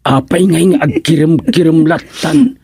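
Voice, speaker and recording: An actor with an Ilocano accent playing a flustered, lovestruck comic village suitor in a radio comedy, stammering and exclaiming. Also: male voice, fairly high pitch, very animated, unhurried, warm tone, slightly rough, dry recording, exaggerated voice.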